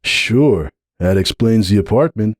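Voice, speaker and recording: A man's voice: fairly low pitch, lively intonation, unhurried, no echo, studio quality. A man voicing a brash but uneasy young male pony, casual, questioning and a little awkward, in a dramatic story reading. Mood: doubtful. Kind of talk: dramatic audiobook character reading.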